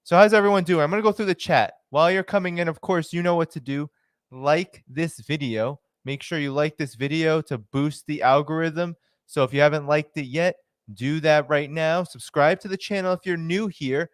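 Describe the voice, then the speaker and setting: A man hosting his own live stream, chatting casually, greeting viewers by name and filling pauses with "uh" and "um.". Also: on the high side, clean tone, no room sound, studio quality. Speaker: livestream host. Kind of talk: casual livestream chat.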